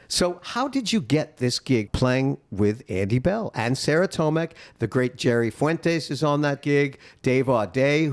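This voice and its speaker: A man in his thirties hosting a podcast interview, chatty and effusive, joking about himself. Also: on the high side. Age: 30s. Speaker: podcast host. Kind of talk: casual interview chat.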